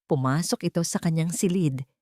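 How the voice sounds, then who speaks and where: A woman's voice: low voice, moderate pace, warm tone, dry recording. A woman reading a scripted romance story as narrator in a dramatic storytelling style.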